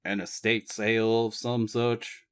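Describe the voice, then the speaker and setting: A man voicing a big, gruff, protective older father with a deep baritone and a Virginia drawl, speaking in terse grunts. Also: level tone, measured pace, fairly bright tone.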